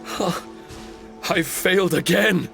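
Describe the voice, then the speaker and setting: A man's voice: sits high, slow, vocal fry, loud music behind the voice, full character voice. A man voicing a small, solemn paper spirit in a video game, earnest and formal, given to blaming himself. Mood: frustrated.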